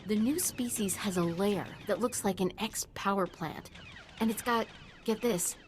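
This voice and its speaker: A woman's voice: mid-range voice, unhurried, slight background noise, natural voice. A woman playing an eager, nerdy scientist in a video game, precise and absorbed. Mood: eager, intent. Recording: game music underneath.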